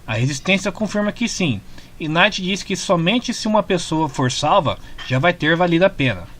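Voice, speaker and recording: A man's voice: high-pitched, lively intonation, normal speed, fairly bright tone. A man with a Brazilian accent reading a scripted retelling of a story as its narrator. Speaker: narrator of comic story summaries. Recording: music clearly playing behind the voice. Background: background music.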